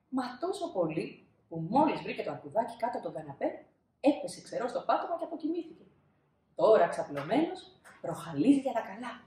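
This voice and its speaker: A woman in her thirties reads a storybook for children. Her pitch moves up and down expressively, and she leans on key words with dramatic pauses. The room gives her voice a noticeable echo. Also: mellow. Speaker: storybook reader. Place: small indoor room, seated. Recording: roomy indoor echo.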